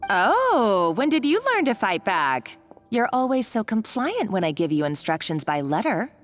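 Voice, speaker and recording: A woman's voice: medium pitch, big pitch swings, normal speed, faint music behind, lightly acted. A woman playing a domineering, gloating female villain in a video game, speaking with taunting condescension. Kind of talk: anime-style video game acting.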